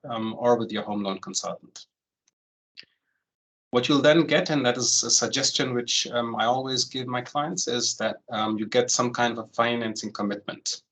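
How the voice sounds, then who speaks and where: A man in his forties, raised in Germany, leads an online session as a home loan consultant. He walks through the steps at an easy pace. His talk is loose and full of "um"s, and he keeps checking in with "right?". His voice sits at an average pitch for a man. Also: poor audio. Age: 40s. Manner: relaxed, many "um" fillers and tag "right?".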